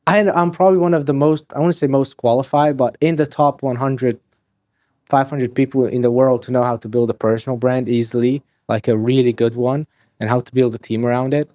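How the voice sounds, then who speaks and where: A nineteen-year-old man from Hungary, rambling quickly and swearing freely as a podcast guest. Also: medium pitch, fairly even intonation, a little mellow, no echo. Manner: rapid, rambling, swears freely, restarts sentences. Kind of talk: casual interview talk.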